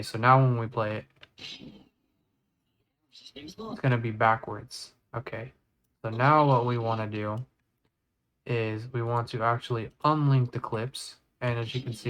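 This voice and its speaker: A man's voice: mid-range voice, slight background noise. A man presenting a casual video editing tutorial, going step by step and thinking aloud as he works. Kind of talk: casual screen-recorded tutorial.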